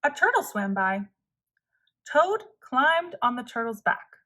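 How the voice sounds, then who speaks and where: High, bright-voiced woman reading a storybook. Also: big pitch swings, takes it slowly. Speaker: storybook reader. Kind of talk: children's read-aloud narration.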